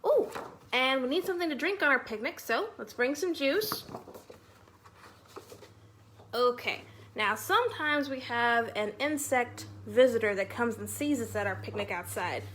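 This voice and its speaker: A woman leads a children's storytime in a high, bright voice. She speaks to young children in an animated, sing-song manner, as a host who moves between chat and reading aloud. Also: normal speed, slight background noise.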